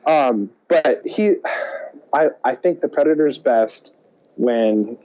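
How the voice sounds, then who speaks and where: Male co-host, soft dark tone, excitable rambling chat, muffled audio. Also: mid-range voice, slow. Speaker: podcast co-host. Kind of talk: casual movie chat. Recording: dull, muffled lo-fi sound.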